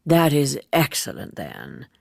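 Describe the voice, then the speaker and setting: A woman voicing a polite, self-possessed, evasive gentleman in an audiobook, with a measured, formal and courteous manner. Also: extremely low pitch, huge pitch swings, gravelly, dry recording, studio quality, heavily acted. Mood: suave. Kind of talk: audiobook character dialogue.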